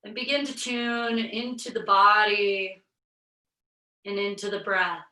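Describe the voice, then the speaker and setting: Slow, soothing woman yoga instructor. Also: medium pitch, level tone, pushed and bright, reverberant, recorded from a distance, rough recording. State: slight head cold, a bit scratchy. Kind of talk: guided yoga and breathing instruction. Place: home yoga space, virtual class.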